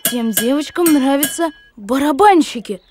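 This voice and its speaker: A woman playing an eager, headstrong schoolboy in a cartoon, brisk and demanding. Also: sits high, animated, background noise. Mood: impatient. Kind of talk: animated cartoon acting. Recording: music under the voice.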